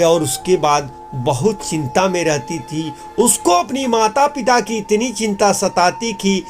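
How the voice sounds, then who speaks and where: A man's voice: high-pitched, a little mellow. A man narrating a children's cartoon moral story in an earnest, explanatory way.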